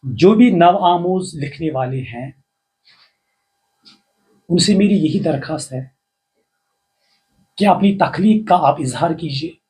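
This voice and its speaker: An older man with a Kashmiri accent, a poet and writer giving earnest, formal advice in a slow, measured way. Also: on the high side, animated, a little mellow. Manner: formal, measured, slow recitation with rising emphasis. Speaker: poet and writer, interview guest. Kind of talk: reciting verse, then earnest advice.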